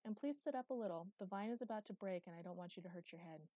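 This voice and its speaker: A woman voicing a serious, motherly little girl in an audiobook, earnest, polite and gently bossy. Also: medium pitch, quick pace, no room sound, natural voice. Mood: polite, firm. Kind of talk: audiobook character dialogue.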